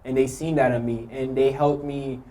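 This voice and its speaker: A young man from Haiti nervously telling his own story to an audience, with many pauses and ums. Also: medium pitch, level tone, warm tone, low-quality recording. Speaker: youth speaker sharing his story. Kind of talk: personal testimony speech.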